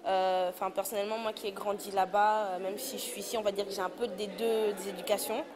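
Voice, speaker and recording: A woman's voice: medium pitch, little pitch movement, fast talker. A woman from Congo, interviewed at an event, speaking in a hesitant, reflective way. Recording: crowd chatter behind.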